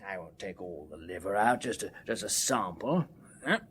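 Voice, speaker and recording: A man's voice: on the low side, very animated, normal speed, a bit bright, a little hiss or hum. An actor playing a cheerfully businesslike English pathologist in a period radio drama, muttering hesitant asides as he works. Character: brisk, jovial police pathologist relishing his gruesome work.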